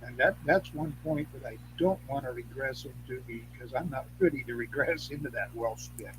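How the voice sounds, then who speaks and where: An older man talking as an interview guest, telling rambling anecdotes in a folksy, salty way, often halting and repeating phrases. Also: medium pitch. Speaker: guest, Craft elder and teacher. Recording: clearly noisy background hum.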